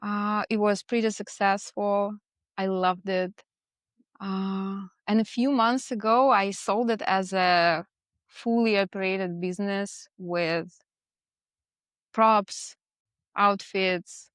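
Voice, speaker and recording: A woman's voice: mid-range voice, steady tone, takes it slowly, clean tone, dry recording, studio quality. A woman from Russia with a Russian accent, telling her own story as a guest in a casual interview. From Moscow, Russia. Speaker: podcast guest, portrait photographer.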